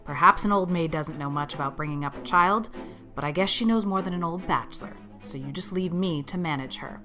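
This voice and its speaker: A woman playing a stern, brusque middle-aged spinster guardian in an audiobook, crisp, scolding and matter-of-fact. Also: fairly low pitch, brisk, bright, forceful tone, soft background music. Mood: tart, firm.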